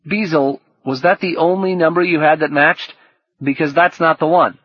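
Man as an earnest, higher-pitched teenage boy. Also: steady tone, normal speed, a bit bright, breathy edge, no room sound, dull audio. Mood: polite, urgent.

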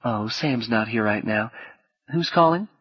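A man voicing a polite, gently distracted suburban mother with a slight Southern US accent in an audiobook. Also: mid-range voice, lively intonation, measured pace, slightly rough, dull audio. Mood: polite, vague.

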